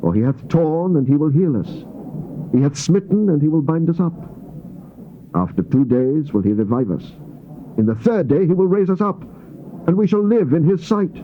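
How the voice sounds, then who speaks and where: A man's voice: a little high, lively intonation, normal speed, pauses often. A man reads King James scripture in a solemn, theatrical, declamatory way, on an old cassette recording. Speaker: scripture reader. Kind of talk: dramatized King James Bible reading. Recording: dull, noisy old cassette tape recording.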